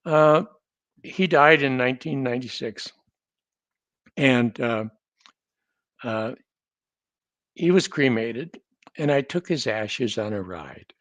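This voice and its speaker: A man in his seventies from North Dakota, telling stories as a guest in a casual conversation, measured with a dry humor and frequent restarts. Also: medium pitch, expressive, a bit bright, no echo. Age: 70s.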